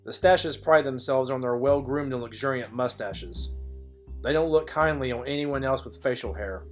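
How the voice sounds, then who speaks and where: Casting: man to play world-weary private eye narrator for noir spoof audio play, mid-range voice, dry deadpan wit. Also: mid-range voice, normal speed, soft background music, lightly acted. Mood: wry, deadpan. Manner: deadpan, dryly wry. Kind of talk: film noir parody narration.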